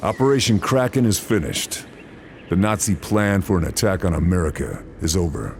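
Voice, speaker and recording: A gruff, gravelly sniper officer. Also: male voice, low voice, expressive, normal speed, a little mellow, slight background noise. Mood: grim. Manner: gruff, grim and resolute. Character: hard-bitten male sniper officer, determined.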